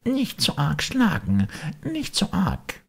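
A man voicing a living log in a children's storybook, with a thin, delicate, childlike, whimpering falsetto voice. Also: huge pitch swings, slow pace, gravelly, slightly rough, exaggerated voice. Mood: whimpering, pleading. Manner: plaintive, pleading.

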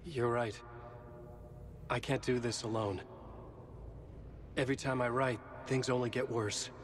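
An actor playing a weary middle-aged male writer, narrating in a low, hushed, dark voice in a video game. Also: steady tone, a little slow, slight background noise, close to an everyday voice. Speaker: game protagonist. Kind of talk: dark literary voice-over narration. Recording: game score over the voice.

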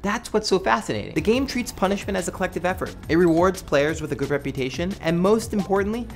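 A man's voice: high voice, expressive, fast talker. An American man in his thirties delivers a scripted video essay to camera as a host, with an emphatic, wry, conversational delivery. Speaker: web show host. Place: small studio set indoors. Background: music bed.

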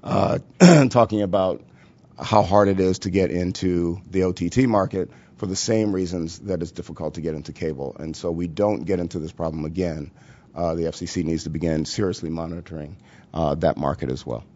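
A man speaking as a panelist, making measured, point-by-point remarks with frequent hesitations. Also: deep voice, darkish tone. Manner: measured, policy-focused, many "uh" fillers. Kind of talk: panel presentation. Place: conference room at a workshop. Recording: dull lo-fi conference audio.

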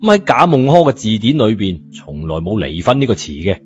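A man with a Cantonese accent tells a story in a lowish voice. It is colloquial audiobook narration, slightly muffled, with music underneath. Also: very animated, brisk, a little mellow.

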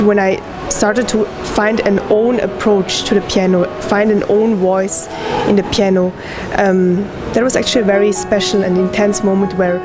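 Young woman pianist, from Switzerland, whose first language is Hokkien. Reflective and searching for words, in an interview over clear piano music. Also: medium pitch. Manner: thoughtful, searching for words, with restarts. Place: indoor room with piano and plants. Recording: piano music playing underneath.

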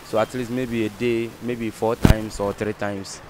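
Young mechanic, lowish voice, explains step by step, some street noise. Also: male voice, little pitch movement, a little slow. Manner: explains step by step in plain English. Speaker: interviewee, mechanic. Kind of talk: street interview answers. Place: outdoors at a workshop. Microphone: handheld mic held by interviewer.

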